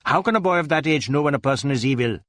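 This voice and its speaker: Male reader as testy Indian father, self-righteous, snarling retorts, storybook dialogue, raised animated pitch. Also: rapid-fire, dry recording, clean studio sound, natural voice. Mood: snarling, scolding. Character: irritable, self-righteous Indian husband and father, a police inspector. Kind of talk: acted dialogue in a storybook reading.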